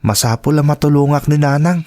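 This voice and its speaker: A woman's voice: low voice, brisk, mellow, natural voice. A woman with an Ilocano Filipino accent playing a dutiful, anxious student in an audio drama. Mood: sad, worried. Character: dutiful, anxious student child devoted to struggling parents.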